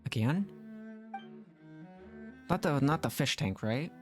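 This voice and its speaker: A man's voice: medium pitch, expressive, slow. Guy streamer reacting to a game, medium voice, chatty, bit of music behind. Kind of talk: casual live reactions to a game.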